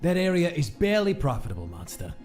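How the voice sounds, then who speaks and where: A man's voice: a little high, big pitch swings, quick pace, darkish tone, soft background music. A male actor playing a pompous, commanding old mafia boss full of disdain in a comic dub. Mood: haughty, commanding. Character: haughty old human mafia don, contemptuous. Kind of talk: comic dub character acting.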